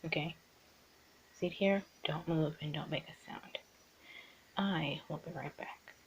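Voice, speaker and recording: A woman's voice: low-pitched, slow, slight background noise, poor audio. A woman playing a teasing, bossy young art student in an improvised ASMR roleplay, often slipping into a half-whisper. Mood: hushed, commanding.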